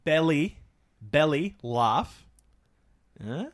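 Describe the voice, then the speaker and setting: A man's voice: fairly high pitch, animated, drawn-out words. A man co-hosting a podcast, chatting casually and rambling through jokes. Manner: joking, rambling, laughs often. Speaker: podcast co-host. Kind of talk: casual comedic chat.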